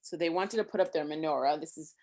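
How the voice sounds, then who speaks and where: A woman's voice: low voice, fast talker, a little mellow. A woman reading a rhyming children's story aloud expressively, with simple, casual explanations for a young listener. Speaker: storybook reader.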